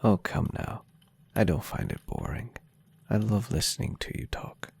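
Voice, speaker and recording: A man voicing a gentle, adoring, centuries-old vampire boyfriend in a soft-spoken, tender and unhurried ASMR roleplay. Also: lowish voice, wildly swooping pitch, heavily acted. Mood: tender, affectionate. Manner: tender, breathy, unhurried, softly teasing.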